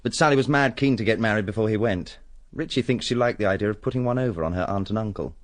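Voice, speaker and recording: Young upper-class son male actor for radio drama: lowish voice, rapid, clipped, matter-of-fact. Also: natural voice. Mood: matter-of-fact, weary.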